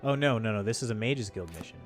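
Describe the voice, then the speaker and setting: A man's voice: on the low side, lively intonation, quick pace, soft background music. Congested man chatting on a stream. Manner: rambling, loose, many fillers and restarts. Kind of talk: casual livestream chat. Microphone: desk microphone. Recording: dry close sound.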